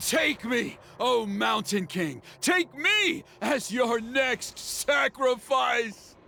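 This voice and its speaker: Gravelly, fanatical elder. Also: male voice, very high-pitched, lively intonation, very slow, bright, forceful tone, slight background noise, full character voice. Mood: fanatical fervor. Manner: theatrical, grandiose. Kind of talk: dramatic video game voice acting.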